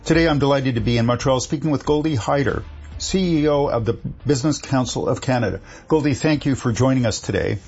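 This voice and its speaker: A man's voice: medium pitch, brisk, a little mellow, lo-fi sound, soft background music. A man hosting an interview show, introducing a guest and asking questions in a conversational radio style.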